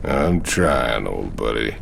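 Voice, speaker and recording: An actor playing a gruff, wary mercenary in a video game, with a gravelly voice and sardonic drawl. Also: male voice, very deep voice, sing-song, slow pace, a bit bright, slight background noise, heavily acted. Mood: wry, uneasy. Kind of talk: video game cutscene acting.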